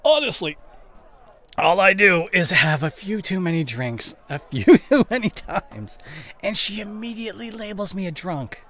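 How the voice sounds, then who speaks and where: A man's voice: sits high, expressive, measured pace, a bit bright, heavily acted. A man playing an evasive, defensive boyfriend with a drinking habit in an audio roleplay, speaking in a hesitant, one-sided conversation. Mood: tipsy, dismissive. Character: evasive drinking boyfriend, dismissive then guilt-stricken.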